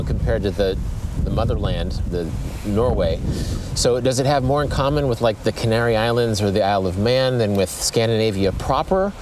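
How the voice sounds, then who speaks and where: A man's voice: mid-range voice, darkish tone. Mid-voiced male host, inquisitive interviewer recorded outside with faint traffic behind. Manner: curious, asks follow-up questions with murmured reactions. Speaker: podcast host. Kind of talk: casual interview.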